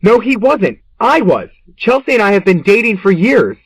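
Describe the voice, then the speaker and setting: An actor in an audio drama playing a defensive kitchen worker, agitated, pleading and quick to accuse. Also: male voice, high-pitched, big pitch swings, breathy edge, no echo, full character voice. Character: frantic, defensive male kitchen worker under suspicion.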